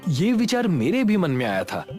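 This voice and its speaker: Kindly village husband, male actor: poor but hopeful, expressive, highish voice. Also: normal speed, soft background music. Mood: weary, hopeful.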